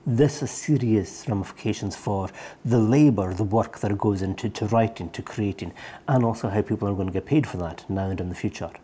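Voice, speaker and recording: A man's voice: fairly low pitch, a little fast, dark tone, a little hiss or hum. A man from Scotland answering interview questions thoughtfully, with small restarts. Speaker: interview guest, poet. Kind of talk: live interview.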